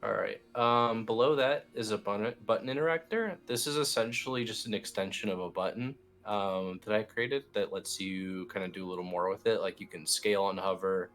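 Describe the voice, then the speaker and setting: A man in the role of instructor, casually walking someone through a software tutorial in an easygoing, informal way. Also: on the low side, fairly quick, hardly any pauses. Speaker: instructor, team lead. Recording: music playing behind the voice. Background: background music.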